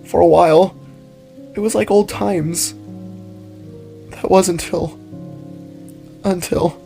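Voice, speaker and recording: A man's voice: high-pitched, fairly even intonation, slow, vocal fry, faint music behind, pitched above the performer's own voice, exaggerated voice. A man voicing a kind young female animal character in a dramatic story, hesitant and sad as she speaks. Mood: regretful confession. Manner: soft, hesitant, stammering, comforting.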